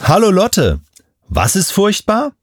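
Husky, very animated man. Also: sits high, takes it slowly. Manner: emphatic, reassuring, repeats key words. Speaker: expert guest explaining to a child. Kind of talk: children's audio interview, storytelling explanation.

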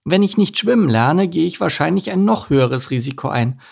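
Man doing a nervous young duckling, high voice, husky edge. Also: fairly quick. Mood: anxious. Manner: nervous, excitable. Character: anxious young male duckling.

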